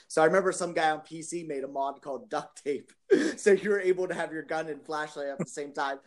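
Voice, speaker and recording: A man with a fairly high-pitched voice co-hosts a podcast. He talks informally, laying out opinions in an explanatory way, as in a casual discussion among friends. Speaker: podcast co-host.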